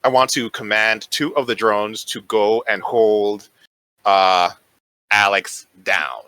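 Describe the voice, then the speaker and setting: A man's voice: lowish voice, takes it slowly, bright, forceful tone, clear, resonant tone, no room sound, poor audio. A man playing a tabletop game, talking casually through his move.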